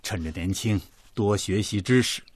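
A man's voice: lowish voice, moderate pace, dry recording, close to an everyday voice. An actor playing a principled, doting middle-aged father and senior official in a Chinese radio drama, authoritative and avuncular.

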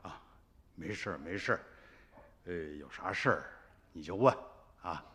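An older man playing an old farmer grandfather in a radio drama, recounting his family's story in a folksy, unhurried way. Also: deep voice, animated, bright, forceful tone. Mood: weary, placating.

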